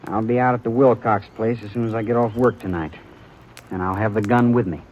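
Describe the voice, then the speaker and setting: A man acting as a proud, nervous young American frontiersman in a golden-age radio western. He speaks in a fairly low voice with halting rustic politeness. The old recording is muffled and faintly noisy. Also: steady tone, lightly acted. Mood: grim, resolute.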